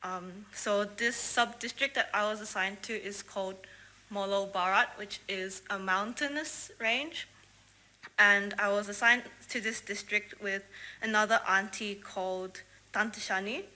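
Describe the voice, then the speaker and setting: A woman's voice: mid-range voice, measured pace, bright, forceful tone, poor audio. A young woman from Australia sharing a testimony about a mission trip with a congregation, earnest, with frequent fillers. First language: English. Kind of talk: prepared testimony talk to a congregation.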